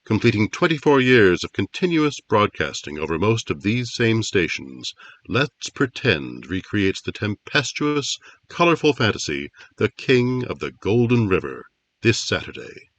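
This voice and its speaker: A man's voice: on the low side, big pitch swings, unhurried, fairly bright tone, full character voice. A man playing a formal 1950s network radio announcer, reading promotional copy and credits theatrically. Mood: grand, promotional.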